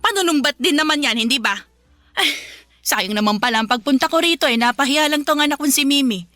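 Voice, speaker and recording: A shameless, middle-aged Filipina mother in a radio love drama. Her voice is piercing and a little high, recorded close and dry. She scolds and wheedles theatrically in a Filipino accent. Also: expressive, fairly quick, sharp tone, no echo. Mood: indignant, reproachful. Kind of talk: radio drama acting in a love story podcast.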